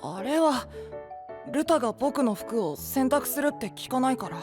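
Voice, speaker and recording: An actor playing a cheeky, blunt boyish knight with a pouty, petulant manner in an anime-style audio drama. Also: male voice, very high-pitched, lively intonation, measured pace, over music. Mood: sulky, flustered.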